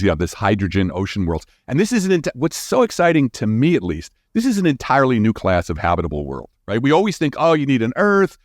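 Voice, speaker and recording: A man's voice: mid-range voice, dry recording, clean studio sound. A man explaining science as an interview guest in an informal, enthusiastic, conversational way.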